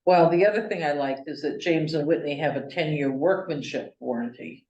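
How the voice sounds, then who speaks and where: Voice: mid-range voice, normal speed, a little mellow, dull audio. A board member speaking briefly and agreeably at a town meeting. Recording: echoey hall, distant mic.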